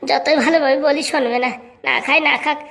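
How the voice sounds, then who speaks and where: Casting: woman doing hungry, scheming cartoon husband, henpecked and whiny, high quick voice, rural Bengali accent. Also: steady tone, gravelly, a bit bright. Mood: muttering, scheming. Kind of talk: comic cartoon dialogue in village dialect.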